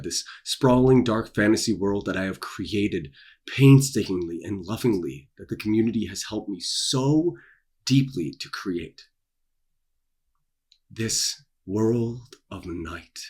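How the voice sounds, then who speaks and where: A young adult man with a husky voice, talking casually to his audience as an author and creator, with theatrical emphasis and dramatic pauses. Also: fairly low pitch. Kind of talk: casual promotional vlog, dramatic delivery. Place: small dark room indoors.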